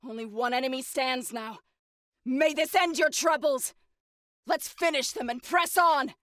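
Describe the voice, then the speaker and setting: Intense, bright-voiced young warrior woman. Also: high voice, unhurried, no echo, studio quality. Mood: grim, determined. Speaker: video game voice actor. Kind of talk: scripted game combat barks and companion lines.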